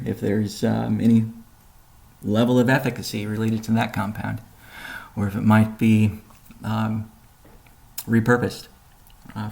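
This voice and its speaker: A man presenting a company update on a conference call, explaining in a measured way with deliberate pauses. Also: fairly low pitch, gravelly, a little mellow.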